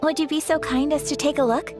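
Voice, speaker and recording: A woman's voice: sits high, fairly even intonation, fast, loud music behind the voice, close to an everyday voice. A woman voicing a refined young noblewoman official in a video game, speaking formally and politely.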